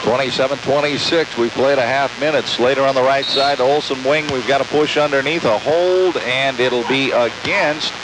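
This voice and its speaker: A man's voice: mid-range voice, moderate pace, few pauses. A man calling live basketball play-by-play on the radio in clipped, descriptive phrases. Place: courtside in a large sports dome. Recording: hissy, noisy amateur tape of radio broadcast.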